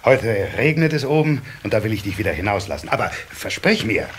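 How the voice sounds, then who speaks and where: An easygoing, good-humoured water-sprite father from a children's radio play. He explains things with amusement and a very animated melody. The cassette recording has a faint hiss. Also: lowish voice, brisk. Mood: kindly.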